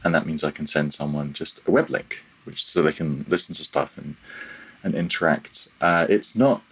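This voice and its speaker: A man speaking as a guest in a casual interview, thinking aloud in a halting, self-deprecating way. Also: very deep voice, a bit bright, breathy edge, rough recording. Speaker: interview guest, freelance audio developer.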